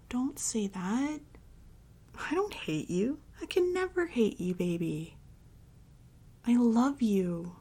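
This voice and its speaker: Breathy, whispery woman acting a tender girlfriend. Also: a little high, heavily acted. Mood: tender reassurance. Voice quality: breathy, often dropping into a whisper. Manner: soft, soothing, slow and affectionate. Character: tender, devoted girlfriend and mommy dom comforting her partner. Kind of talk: intimate ASMR roleplay, comforting a listener.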